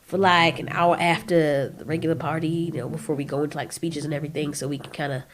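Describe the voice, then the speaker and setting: A woman's voice: low voice, level tone, fast talker, pitched below the performer's own voice, natural voice. Woman voicing a slangy, sheepish male digger monster. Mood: sheepish, rambling. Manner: slangy, hedging, apologetic. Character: sheepish, rambling, foul-mouthed male digger kaiju. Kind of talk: casual audio drama dialogue.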